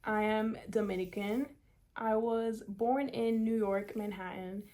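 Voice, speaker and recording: A woman's voice: mid-range voice, fairly even intonation, measured pace, dark tone. A woman in her twenties from South Florida, chatting casually through a hair tutorial with many "like" fillers. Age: 20s. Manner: chatty, upbeat, lots of "like" and "kinda". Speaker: beauty vlogger.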